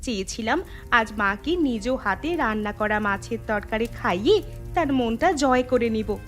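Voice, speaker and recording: A woman's voice: on the high side, animated, unhurried. Woman playing a spiteful, swooping young cartoon schemer. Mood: vengeful. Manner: gloating, sneering, theatrical. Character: spiteful, jealous scheming younger daughter-in-law. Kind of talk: Bengali moral-tale cartoon acting. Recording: music under the voice.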